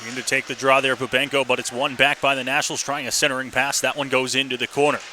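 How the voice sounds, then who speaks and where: Male play-by-play announcer, very bright and driving delivery, live radio hockey call over faint arena noise. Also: medium pitch, flat delivery, fast. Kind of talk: live radio sports commentary.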